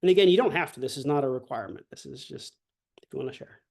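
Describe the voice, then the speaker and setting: A male language instructor leading an online class over a video call, talking in a relaxed, halting way with many fillers. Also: mid-range voice, gravelly, husky.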